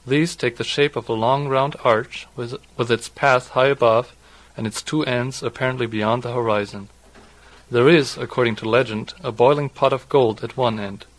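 A man in his twenties from southwest Germany, a native German speaker with a German accent, speaking in a halting, explanatory way. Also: mid-range voice, fairly even intonation, low-quality recording. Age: 20s. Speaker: interviewee, doctoral student. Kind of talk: read passage, then unscripted personal account.

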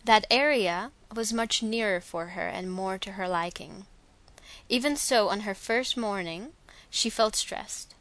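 A woman's voice: medium pitch, measured pace, fairly bright tone. Slovak-accented young woman reading aloud. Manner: careful, slightly hesitant with fillers and restarts. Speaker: dialect archive speaker. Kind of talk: reading a set passage, then casual self-introduction.

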